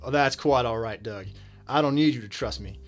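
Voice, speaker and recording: An actor playing a dogged, wry detective in a radio drama, deliberate and dryly authoritative. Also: male voice, mid-range voice, soft background music, natural voice. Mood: firm, questioning. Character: dogged, wry male detective, firm but polite.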